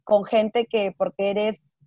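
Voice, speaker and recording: A woman's voice: mid-range voice, little pitch movement, slow pace, mellow, dry recording. A woman from Peru with a Peruvian accent, chatting casually and telling personal stories, slipping in English words and acting out what people said. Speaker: interview guest.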